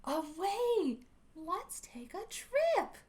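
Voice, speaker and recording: A woman voicing an excitable, fretful duck from a picture book, exclaiming dramatically. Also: very high-pitched, drawn-out words, voice pushed up, heavily acted. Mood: eager, excited.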